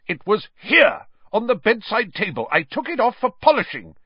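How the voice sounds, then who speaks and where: A man's voice: high voice, wildly swooping pitch, normal speed, slightly rough, dry recording, highs cut off, pitched above the performer's own voice, heavily acted. A man voicing a self-important older teenage boy with a lofty, long-suffering manner in an audiobook. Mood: angry. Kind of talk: audiobook character voice.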